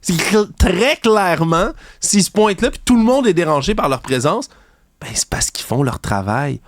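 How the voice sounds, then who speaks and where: Indignant Québécois man, higher voice, dry. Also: expressive, moderate pace, no echo. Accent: Québécois French. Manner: indignant, ranting, sarcastic, mocks opponents in high voices. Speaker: commentary show host. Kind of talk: heated opinion commentary.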